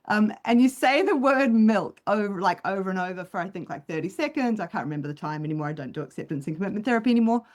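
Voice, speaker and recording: A woman with a New Zealand accent softened by Australian tones, a psychologist talking through ideas in a relaxed podcast chat on a video call, with many ums and restarts. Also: medium pitch, quick pace, hardly any pauses. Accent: New Zealand English with Australian overlay. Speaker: clinical psychologist guest. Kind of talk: casual podcast conversation.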